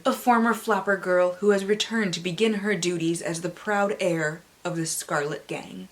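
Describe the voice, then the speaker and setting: A North American woman hosting a book vlog in a playful, theatrical manner, mixing casual chat with dramatic readings and asides. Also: on the low side. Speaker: book channel host. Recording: clearly audible background hiss.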